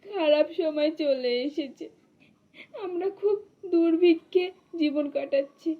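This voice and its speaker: Woman voicing tender little sister, earnest cartoon child, very high pitch, slow pace, lo-fi sound. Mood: frightened, tearful. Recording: dull lo-fi voice track.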